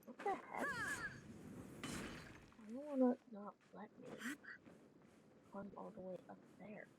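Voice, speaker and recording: A woman's voice: medium pitch, lively intonation, takes it slowly, gravelly, background noise. A woman doing casual gameplay commentary as a streamer, muttering to herself and bluntly swearing, with game music behind her. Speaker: gaming streamer.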